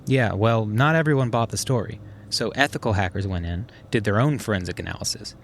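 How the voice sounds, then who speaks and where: An actor playing a sharp, methodical schemer who explains things conversationally in a naturalistic audio drama. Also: male voice, lowish voice, a little fast, a little hiss or hum, lightly acted. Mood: explaining intently. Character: sharp, methodical male schemer plotting revenge on a swindler.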